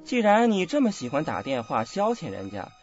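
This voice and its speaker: An actor playing a cold, indignant young husband in a radio drama, stern, articulate and accusing. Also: male voice, on the high side, huge pitch swings, normal speed, darkish tone. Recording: dull lo-fi audio, faint music.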